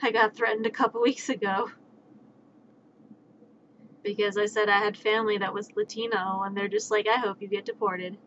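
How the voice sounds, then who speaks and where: A woman's voice: medium pitch, little pitch movement, normal speed, pauses often, a bit bright. A woman live streamer chatting casually in a joking, playful, sweary way, who grew up with Spanish and sign language as first languages. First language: American Sign Language and Spanish. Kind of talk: casual chat with acted-out back-and-forth dialogue. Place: indoor livestream. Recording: dull lo-fi mic, faint background noise.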